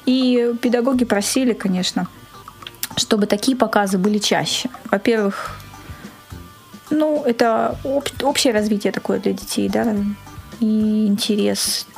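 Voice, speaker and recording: A woman's voice: mid-range voice, a little slow, gravelly, soft background music. A woman being interviewed as an event organiser, talking at length in a casual way and rephrasing for emphasis.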